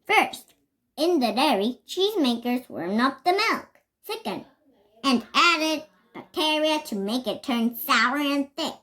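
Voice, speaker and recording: A child reads a storybook aloud in a high voice that swings up and down in pitch. The delivery is slow and careful, slightly halting, with words given deliberate emphasis. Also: animated, pushed and bright. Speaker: reader. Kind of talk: reading a children's picture book aloud.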